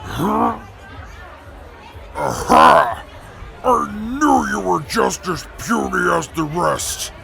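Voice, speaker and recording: A man voicing a huge, boastful strongman with a boisterous, gravelly manner in an audio drama. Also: sits high, huge pitch swings, extremely slow, pauses often, bright, forceful tone, faint music behind, some chatter behind, exaggerated voice.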